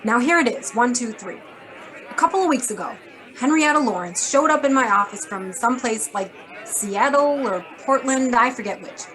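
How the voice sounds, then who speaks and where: An actress playing a brisk, blunt, wisecracking female editor in a hard-boiled radio drama. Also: fairly high pitch, expressive, a bit bright, a faint crowd, poor audio. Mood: brisk, worried. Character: brisk, sardonic, worried female magazine editor.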